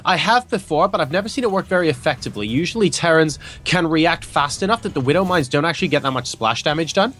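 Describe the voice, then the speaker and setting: A man's voice: a little high, lively intonation, fairly bright tone. A man casting a video game match, explaining builds in detail and trading light jokes with his co-caster. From Hong Kong. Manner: analytical, joking, quick banter. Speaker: esports caster. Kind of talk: esports play-by-play commentary.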